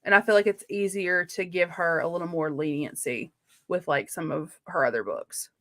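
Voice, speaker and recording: A woman's voice: on the low side, moderate pace, a bit bright. Woman cohosting a live book chat, rambly and super casual, says like a lot, blunt about stuff. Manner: effusive, rambling, uses lots of "like". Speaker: co-host of a live book readalong.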